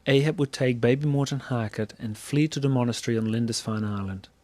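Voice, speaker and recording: Dry-sounding male storyteller, mid-pitched. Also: brisk, darkish tone, dry recording. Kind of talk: scripted story narration.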